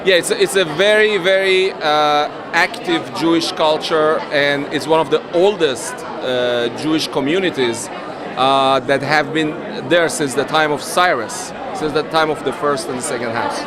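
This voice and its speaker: A middle-aged man from Iran speaking in a measured, earnest way, pausing with fillers. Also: fairly high pitch. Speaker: honoree. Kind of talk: casual interview. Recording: noisy loud music and crowd.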